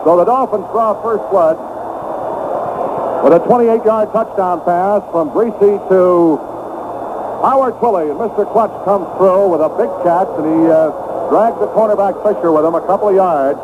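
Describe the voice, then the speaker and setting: A man calling a football game live as radio play-by-play announcer from a stadium booth, his voice jumping up on big plays. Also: normal speed. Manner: rises sharply in pitch on big plays. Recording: tinny old AM radio broadcast with hiss.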